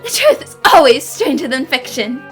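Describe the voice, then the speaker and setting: A woman's voice: high voice, big pitch swings, normal speed, soft background music. A woman voicing a self-assured, composed young detective in an anime dub, speaking in an articulate, earnest way.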